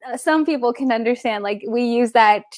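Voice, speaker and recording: A woman's voice: on the high side, fairly quick, no room sound, clean studio sound. A middle-aged woman, a guest author, talking casually and rambling, often searching for words and restarting. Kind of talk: casual spiritual conversation.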